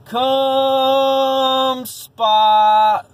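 A man's voice: very high-pitched, flat delivery, extremely slow, dry recording, pitched above the performer's own voice, heavily acted. A man voicing a flamboyant male neighbour calling his cat in cooing, sing-song tones. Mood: coaxing, sing-song. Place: inside a parked vehicle. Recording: car interior, distant mic, faint noise.

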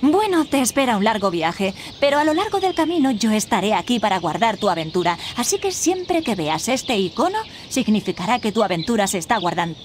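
An actress playing a kindly, encouraging fairy guide who gives patient instruction in a video game. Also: female voice, mid-range voice, very animated, fairly quick, few pauses, a little hiss or hum, lightly acted. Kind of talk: scripted game tutorial dialogue.

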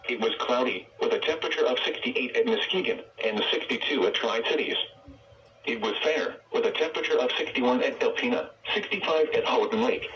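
A man's voice: mid-range voice, animated, a little fast, far from the mic, highs cut off. A synthetic man's voice reading a scripted weather bulletin, played through a weather radio speaker. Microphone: phone camera picking up a radio speaker. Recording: radio speaker picked up from afar, faint noise.

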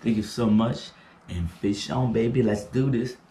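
A man's voice: lowish voice, unhurried, dark tone. A young man hosting a fishing channel, giving an upbeat intro from a garage and thanking viewers. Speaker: channel host. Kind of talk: vlog intro. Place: indoor garage.

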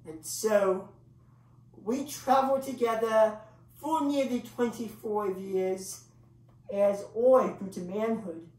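A woman performing a dramatic monologue as a grandiloquent old English male clown, pausing for effect as he tells his bittersweet tale. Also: medium pitch, darkish tone, natural voice. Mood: wry reminiscence. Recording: echoey room, distant microphone.

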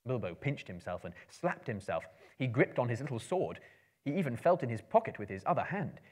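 A man in his thirties reading a story aloud dramatically, in a theatrical, expressive storyteller's manner. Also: mid-range voice, fairly quick, breathy edge. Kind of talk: dramatic book reading to a live audience. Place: indoor room with bookshelves, small live audience.

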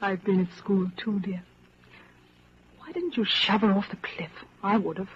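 An actress in a 1940s radio drama playing a bold, rebellious woman in her twenties, brisk, blunt and secretly amorous. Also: medium pitch, close to an everyday voice. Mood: blunt, defiant. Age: 20s. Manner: brisk, blunt, matter-of-fact. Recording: muffled, noisy 1940s radio broadcast recording.

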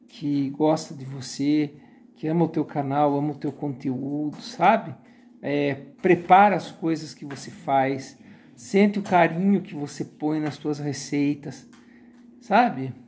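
A man in his forties with a Brazilian accent, sitting on a sofa and talking warmly and supportively into his phone in a casual live stream. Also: a little high, unhurried. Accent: Brazilian Portuguese. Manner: warm supportive, many filler tags. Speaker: YouTube channel host and moderator. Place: living room sofa, indoors. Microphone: phone camera held close.

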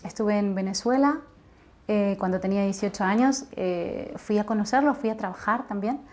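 A young woman speaks in a soft, thoughtful way at a mid pitch. She hesitates often and tags her sentences with questions. It sounds like a seated indoor interview on a lapel mic. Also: normal speed. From Argentina. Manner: soft, reflective, with hesitant fillers and tag questions. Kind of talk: interview answers.

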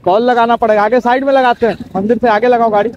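A man's voice: extremely high pitch, fairly even intonation, fast, a little mellow, lo-fi sound. A young man giving casual motovlog commentary from his bike, talking directly to viewers and repeating himself. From Bihar, India. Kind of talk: casual vlog commentary while riding. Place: outdoors on a motorbike on town roads.

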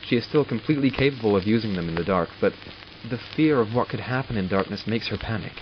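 A man's voice: lowish voice, fast, close to an everyday voice. A man acting in an audio drama as an arrogant, exasperated doctor who studies supernatural captives, clinical and sardonic in manner. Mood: clinical, detached. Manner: clinical, sardonic, self-talking. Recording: muffled, with loud background noise.

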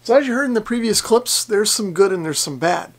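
Man, American, forties, slightly high voice, creaky, casual to-camera explanation, self-deprecating. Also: animated, fairly quick, noisy background. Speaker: ham radio vlogger. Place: basement radio workshop indoors.

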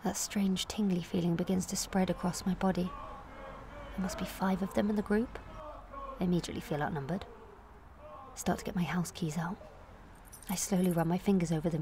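A woman in her late twenties performing a confessional comic monologue in a candid, wry, self-questioning way, moving between confiding and animated. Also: fairly low pitch, a little hiss or hum. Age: late 20s. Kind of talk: confessional comic audio monologue, present-tense narration.

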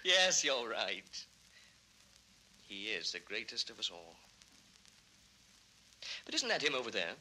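A man's voice: on the low side, very animated, slow, long pauses, sharp tone, slight background noise, exaggerated voice, rough recording. A man playing a genial, admiring musician in a vintage children's record drama. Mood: admiring, amused. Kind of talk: 1960s children's record radio-play acting.

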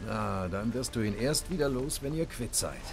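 A man's voice: medium pitch, lively intonation, normal speed, background noise, natural voice. An actor playing a jaded, sardonic, cruel nobleman bandit in video game dialogue, with a wry, dismissive drawl. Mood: mocking. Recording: rain and outdoor ambience behind.

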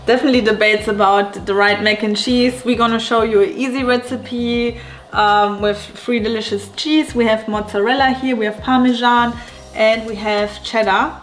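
A woman's voice: on the high side, fairly even intonation, moderate pace, faint music behind. A young woman co-hosting a home cooking show, cheerfully explaining each step of a recipe on a lapel mic in a home kitchen. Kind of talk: walking through a recipe step by step.

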